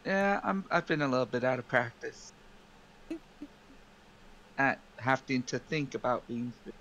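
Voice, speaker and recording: Medium-pitched man roleplaying, noisy recording. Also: animated, a little slow, fairly bright tone, lo-fi sound. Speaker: tabletop game player. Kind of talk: casual roleplay, narrating own character. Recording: clearly noisy background.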